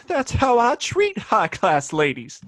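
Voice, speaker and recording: High-pitched, hot-headed Wild West bully. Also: male voice, wildly swooping pitch, takes it slowly, a bit bright, exaggerated voice. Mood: cocky, belligerent. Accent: American. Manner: blustering, folksy drawling insults. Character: cocky, hot-tempered Wild West gunslinging bully with a badge. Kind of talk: audio play acting, western.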